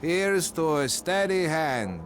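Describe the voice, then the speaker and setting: A video game repairman who likes his drink. His voice is fairly high for a man and slow, drawing out his toasts over music. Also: big pitch swings. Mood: boozy toast.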